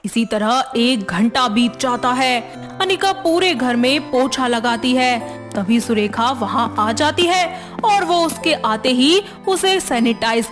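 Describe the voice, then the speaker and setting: High-voiced woman narrating a story. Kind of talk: scripted children's story narration.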